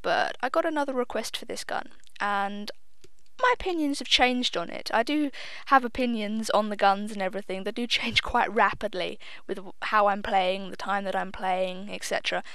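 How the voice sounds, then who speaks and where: A woman's voice: mid-range voice, moderate pace, a bit bright, clear, resonant tone, no echo. A young woman giving casual, chatty gameplay commentary. She is self-deprecating, swears offhandedly and admits she sounds a little nervous. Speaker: gaming video host.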